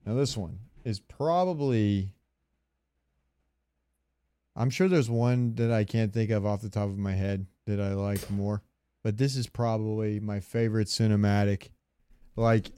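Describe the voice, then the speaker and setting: A man hosting a podcast, giving casual fan commentary in a smooth, clear voice. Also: on the low side, fairly even intonation, unhurried, dark tone, dry recording, clean studio sound. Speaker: podcast host.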